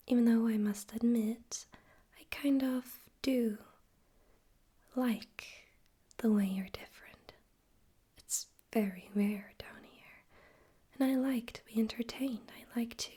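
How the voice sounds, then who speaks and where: A woman voicing a suave, amused, menacing male demon overlord in a breathy whispered ASMR roleplay, slow and condescending. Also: a little high, mellow. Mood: teasing, condescending. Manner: slow, condescending, teasing, deliberate.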